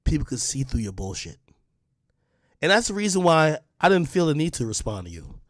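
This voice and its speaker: A young man rants hard and confrontationally, hammering the same points over and over. His voice sits a touch high, and the sound is dry and close with no room around it. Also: big pitch swings, a little fast, no room sound. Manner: confrontational, heated, repeats points. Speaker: online media personality. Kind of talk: direct-to-camera rant.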